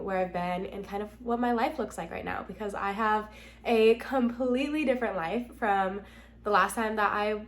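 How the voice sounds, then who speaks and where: A young American woman talks casually to her viewers as a vlogger. Her mid-pitched voice is nasal and a little congested from being sick. She is candid and chatty, leaning on "like" as she goes. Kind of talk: casual chat to camera.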